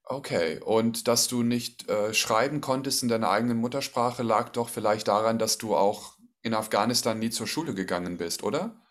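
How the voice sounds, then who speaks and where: A man with a fairly low voice hosts a relaxed conversation. He asks encouraging questions and often closes his sentences with a quick tag question, keeping the talk friendly and easy. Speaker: interviewer. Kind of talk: casual conversational interview.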